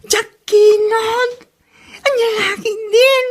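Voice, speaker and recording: A woman's voice: very high-pitched, steady tone, takes it slowly. A woman acting a nosy, teasing young best friend in a comedy drama. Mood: teasing, amused.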